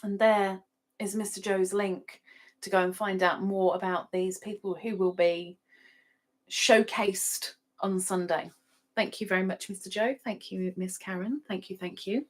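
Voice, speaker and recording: A woman's voice: mid-range voice, fairly even intonation, measured pace. A woman hosting a casual livestream, chatting playfully with sing-song asides and reading out notices to viewers. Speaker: livestream host. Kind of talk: casual live chat, reading out viewers' adverts.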